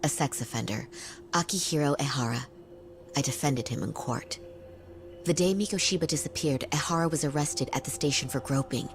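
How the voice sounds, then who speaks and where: A woman playing a serious, composed defense attorney with a measured, businesslike manner in a video game cutscene. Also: low-pitched. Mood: analytical. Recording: game music under the voice. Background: music.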